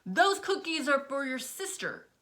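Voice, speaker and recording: A fed-up, fiercely protective mother, put on by a woman reading aloud lectures in high, punchy, emphatic bursts. Her voice is bright and cutting, and it climbs well above a plain speaking voice. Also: big pitch swings, a little slow, heavily acted. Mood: scolding. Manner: sharp, rising, emphatic scolding. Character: exasperated scolding middle-aged mother, fiercely protective.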